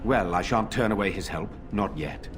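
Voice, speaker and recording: A man voicing a noble lord in a video game, formal and measured in the way he speaks. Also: sits low, background noise, lightly acted. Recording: clear game music behind.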